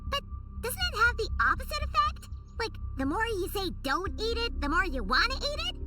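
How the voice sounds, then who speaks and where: A woman voicing a small, childlike fairy companion in a video game, squeaky, curious and excitable. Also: brisk, distant mic, over music. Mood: amazed, curious. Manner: inquisitive, exclamatory.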